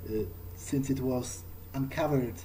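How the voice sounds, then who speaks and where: A man thinking aloud in character as a vampire during a video game, in a halting, musing way. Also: mid-range voice, fairly even intonation, mellow, a little hiss or hum, poor audio. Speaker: gamer roleplaying in character. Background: video game ambience.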